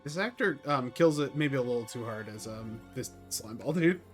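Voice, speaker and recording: A man's voice: medium pitch, lively intonation, normal speed, faint music behind. A man giving casual, wry, sarcastic live commentary as he streams a game.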